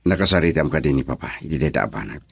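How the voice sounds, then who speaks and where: An actor playing a reluctant, brooding young husband in an Ilocano radio drama, with a Filipino accent. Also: male voice, a bass voice, lively intonation, rapid-fire, vocal fry, breathy edge, dry recording, clean studio sound, full character voice.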